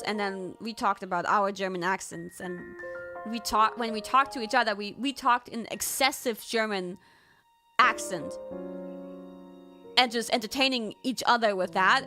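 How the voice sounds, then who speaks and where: A woman streamer telling stories in casual chat, animated, jumping to squealed quotes. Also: medium pitch. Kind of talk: casual chat, recapping a trip.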